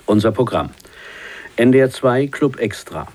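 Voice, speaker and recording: A man's voice: mid-range voice, animated, measured pace, a little mellow. A man reading radio programme announcements in short formal phrases, with a standard German accent. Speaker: station announcer.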